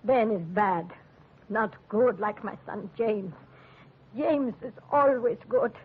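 Casting: distrustful immigrant mother, broken English, husky plaintive delivery, thin muffled radio-drama recording. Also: mid-range voice, expressive, slow pace. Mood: bitter, plaintive. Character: weary, distrustful immigrant mother of two grown sons. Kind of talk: 1940s radio acting. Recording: muffled narrow-band old radio recording.

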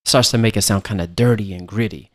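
A man with a fairly low voice gives a music production tutorial. He explains each step casually, like an instructor walking a student through the software, speaking close to the mic. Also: quick pace.

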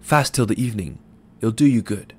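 A man voicing a sharp-tongued poor village wife who scolds her husband curtly. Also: on the low side, brisk, slightly rough, voice pushed up. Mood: scolding. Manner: scolding, curt.

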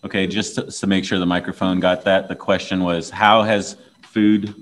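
A man moderating a town hall forum, repeating audience questions with halting restarts. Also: low voice, little pitch movement, fairly bright tone.

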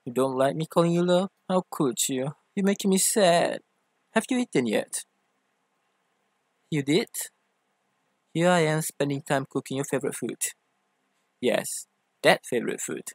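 A man's voice: on the high side, animated, a little slow, long pauses, no echo. Man acting a playful, teasing femboy boyfriend, closely recorded. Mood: affectionate, mock-hurt. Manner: theatrical, teasing, coaxing. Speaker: ASMR roleplay voice actor. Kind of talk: ASMR boyfriend roleplay.

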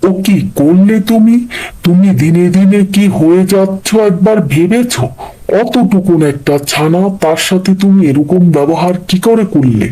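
A man's voice: high-pitched, normal speed, mellow, close to an everyday voice, rough recording. A man voicing a reasonable, reproachful father bird in a children's cartoon, earnest and admonishing.

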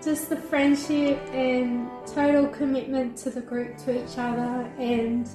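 A woman's voice: high-pitched, little pitch movement, a little slow. A woman sharing enthusiastically and casually about her group. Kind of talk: personal testimony. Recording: echoey room. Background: background music.

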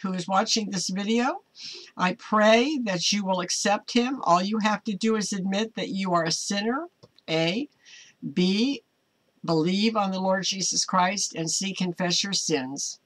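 Slow-talking older American woman preaching. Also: medium pitch, a bit bright. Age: older, 60s or 70s. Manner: halting, earnest. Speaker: online ministry host. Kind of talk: informal devotional talk to camera, part preaching. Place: small home office, indoors.

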